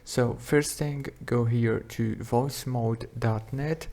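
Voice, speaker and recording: A man hosting a software tutorial, narrating simple instructions step by step. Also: mid-range voice, fairly even intonation, takes it slowly. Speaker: tutorial host.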